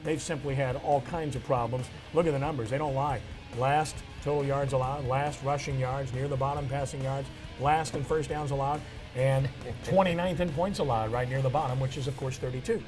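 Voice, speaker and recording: A man's voice: medium pitch, steady tone, normal speed, over music. A man hosting a sports talk show, giving emphatic, opinionated analysis in punchy declarative phrases.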